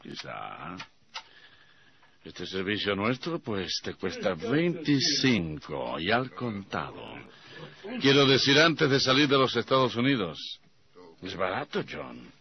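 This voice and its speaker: A menacing, mocking gang boss in a radio play. He drawls his taunts in a low voice, greedy and sly. The recording is dull and dated. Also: sing-song, slow pace, gravelly, a crowd in the background, exaggerated voice. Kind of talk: radio theatre acting.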